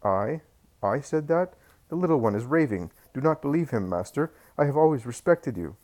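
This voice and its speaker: Frantic, pleading old grandfather, as a man puts him on. The voice is lifted well above the reader's own and pushed loud as the old man begs for mercy. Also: mid-range voice, lively intonation, normal speed, voice pushed up, close to an everyday voice. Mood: fawning. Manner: pleading, crying out.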